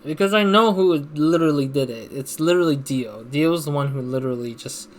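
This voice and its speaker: A man hosting a let's play, reading game text aloud and commenting casually as he thinks out loud. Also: on the high side, a little fast.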